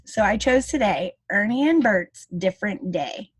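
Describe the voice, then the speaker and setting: A woman reading a picture book aloud to young children as their teacher, with chatty asides and expressive dialogue. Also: medium pitch, moderate pace, breathy edge.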